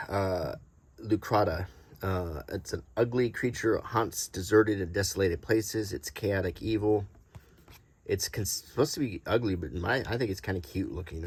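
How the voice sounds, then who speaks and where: Low-voiced middle-aged man, casual show-and-tell. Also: darkish tone, husky. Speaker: host presenting a vintage game card collection. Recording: noticeable background noise, small clicks.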